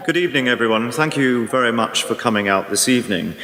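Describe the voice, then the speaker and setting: A man's voice: mid-range voice, sharp tone, lightly acted. Guy playing earnest activist speechifying at a town meeting, radio drama, big echoey hall. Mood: measured. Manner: earnest, rhetorical, deliberate. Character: earnest male local campaigner rallying a public meeting. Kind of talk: public speech in a radio play. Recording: echoey hall with long reverb.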